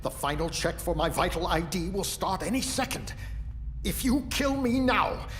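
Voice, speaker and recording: A man's voice: sits high, animated, normal speed, fairly bright tone. An actor playing a resolute, desperate man in a video game drama, speaking with urgent, commanding intensity. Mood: desperate urgency. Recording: long reverb with music behind.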